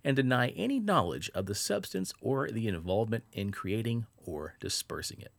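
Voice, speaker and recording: A man hosting a podcast, telling strange stories in a casual, animated way and laughing often. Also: on the low side, normal speed, vocal fry, no echo. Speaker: podcast host. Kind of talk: casual storytelling podcast chat.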